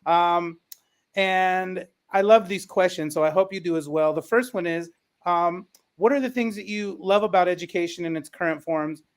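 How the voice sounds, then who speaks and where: High-pitched man hosting a panel discussion. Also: moderate pace. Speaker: panel host and elementary school teacher. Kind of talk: moderating a panel discussion, conversational.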